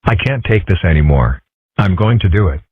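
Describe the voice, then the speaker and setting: A man's voice: low voice, lively intonation, normal speed, husky, dry recording. A man voicing a nervous, lovesick schoolboy who stammers and gets flustered. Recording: dry, phone-like lo-fi sound.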